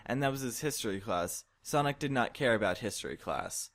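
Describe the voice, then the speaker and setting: A man's voice: mid-range voice, lively intonation, fairly quick. A man reading a fan fiction story aloud in a mock-dramatic scripted narration style.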